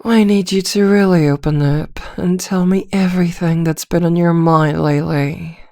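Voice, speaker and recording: A woman playing an arrogant, seductive vampire villainess in an intimate ASMR roleplay, soft-voiced and teasing, with a commanding, hypnotic manner and frequent drops toward a whisper. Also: on the low side, fairly even intonation, normal speed, no echo, studio quality, natural voice. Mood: smug teasing. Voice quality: soft, often dropping into whispers.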